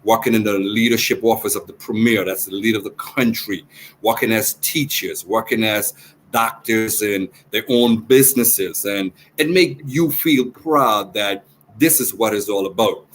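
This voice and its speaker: A man's voice: fairly low pitch, moderate pace, a bit bright. A man, a rugby coach speaking earnestly and proudly in a remote interview, often repeating himself. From Turks and Caicos Islands. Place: outdoors beside team. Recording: faint background noise.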